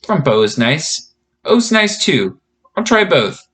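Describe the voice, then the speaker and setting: Casting: male reader as young storybook girl, friendly and chatty, pitch lifted, reassuring. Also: sing-song, slow, fairly bright tone, husky, exaggerated voice. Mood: cheerful. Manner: chatty, explaining simply.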